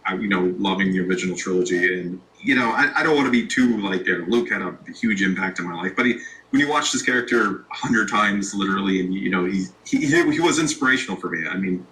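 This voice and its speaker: A man's voice: sits low, fast talker, a little hiss or hum, rough recording. A man who makes online videos, guest in a casual interview, talking loosely with many restarts. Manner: relaxed, rambling, many restarts. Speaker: YouTube creator, guest.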